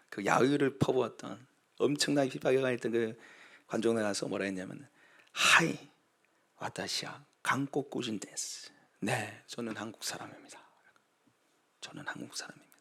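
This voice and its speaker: A man's voice: on the high side, creaky, a bit bright. A man who is a pastor, preaching a sermon in a storytelling way, acting out quoted voices and building to emphatic exhortation.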